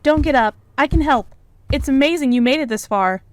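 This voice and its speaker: A woman's voice: high voice, lively intonation, fairly quick, a little hiss or hum. A woman playing a caring, fussing young friend in a comic dub. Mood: concerned.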